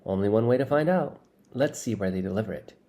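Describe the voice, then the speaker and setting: A man's voice: on the low side, expressive, fast talker, warm tone, natural voice. Man voicing an eager, easily tempted boy. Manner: eager, wistful. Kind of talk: acted audiobook dialogue.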